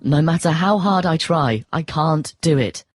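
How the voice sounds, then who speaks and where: A woman's voice: low voice, animated, unhurried, faint music behind. A woman playing a lost, bewildered, affectionate young boy in a storybook game, earnest and plaintive. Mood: defeated.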